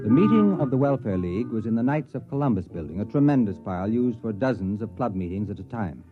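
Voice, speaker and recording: A man's voice: fairly low pitch, expressive, quick pace, close to an everyday voice. An actor playing a wry, easily exasperated university man who narrates his story in 1950s radio drama style. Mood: wry narrating. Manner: dry, colloquial storytelling. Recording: muffled old radio broadcast, music behind.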